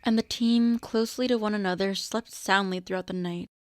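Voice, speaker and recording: A woman's voice: mid-range voice, fairly even intonation, fairly quick, dry recording, clean studio sound. A woman reading scripted narration for a radio drama as its narrator.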